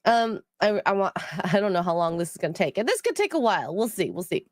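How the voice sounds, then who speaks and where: A woman's voice: mid-range voice, sing-song, fast talker, dry recording, studio quality. A woman hosting a casual livestream chat, sounding nasal from a cold, rambling with many ums as she reads out donations. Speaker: stream co-host.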